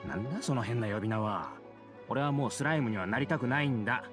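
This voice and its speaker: A man acts out a weary working family man from a fantasy video game. He complains earnestly at a very rapid pace, with a lively pitch, and music plays clearly behind him. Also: natural voice. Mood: grumbling. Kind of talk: Japanese video game voice acting.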